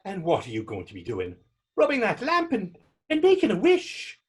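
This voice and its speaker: A man playing a skeptical, down-and-out Irish drifter in a staged period drama reading, husky and sardonic. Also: sits high, huge pitch swings, moderate pace, full character voice. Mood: incredulous, joking. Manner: wry, sardonic. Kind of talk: stage readers-theater dialogue in Irish period dialect.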